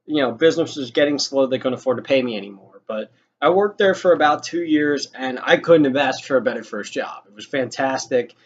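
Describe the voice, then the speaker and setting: A man's voice: mid-range voice, fairly quick, breathy edge. A young man telling a casual story in a rambling, informal way with some swearing. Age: young adult. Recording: dull lo-fi audio with noticeable noise.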